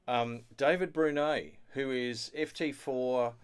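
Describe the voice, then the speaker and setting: A man's voice: mid-range voice, steady tone, takes it slowly, clear, resonant tone. A man hosting a casual studio chat, affirming and given to chuckling as he talks. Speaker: host of an amateur radio show. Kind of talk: casual chat about ham radio.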